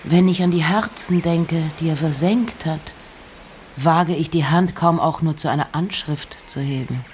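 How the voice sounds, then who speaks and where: An actress playing an introspective nineteenth-century woman poet in a radio play, reciting in a breathy, hushed, deliberate way with pauses between short phrases. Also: sits low, slight background noise. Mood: musing. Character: Emily Dickinson, introspective 19th-century American woman poet. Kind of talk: radio play, poetic recitation of poems and letters.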